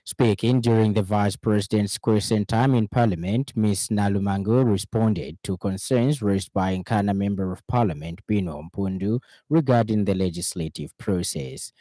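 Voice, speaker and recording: Low, mellow male newsreader. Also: normal speed, few pauses, dry recording. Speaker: radio news presenter. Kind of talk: scripted news bulletin reading.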